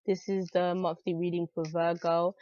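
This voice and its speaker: Raspy-voiced woman reading tarot with a cold. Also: lowish voice, level tone, moderate pace, darkish tone, highs cut off. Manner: chatty, many fillers like "um" and "like". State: has a cold, raspy voice, clearing throat. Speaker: tarot reader. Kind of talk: casual monthly tarot reading.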